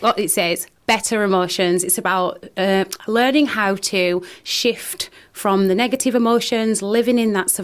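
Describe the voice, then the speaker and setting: A woman with a Northern English accent, a radio studio guest speaking earnestly about her work, often restarting her sentences. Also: mid-range voice. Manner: earnest, hesitant with many restarts. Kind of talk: radio interview.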